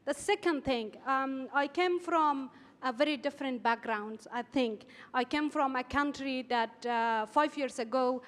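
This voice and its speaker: A fairly high-voiced woman from Afghanistan speaks on a panel. She is careful and halting, with many pauses and fillers, and a long reverb fills the large hall around her. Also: a bit bright. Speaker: journalist and panelist. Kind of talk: panel discussion remarks.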